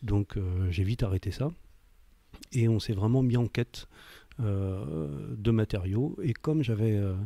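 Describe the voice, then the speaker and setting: Interview guest: man, sixties, reflective and halting, husky tone, close dry sound. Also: on the low side, dark tone, dry recording. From Paris, France. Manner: hesitant, searching for words, with repeated words. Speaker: interview guest, leather craftsman. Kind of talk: reflective autobiographical interview talk.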